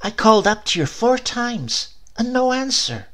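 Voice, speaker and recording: A man voicing a scolding, exasperated storybook wife with a sharp, snapping, nagging manner. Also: very high voice, sing-song, measured pace, voice pushed up, full character voice. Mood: snappish.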